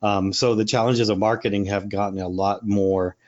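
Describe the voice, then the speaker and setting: A man's voice: on the low side, steady tone, normal speed, a little mellow, dull audio. Man, rambling interview chat. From Puerto Rico. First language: Spanish. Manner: rambling, many fillers and restarts. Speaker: wildlife photographer and workshop leader.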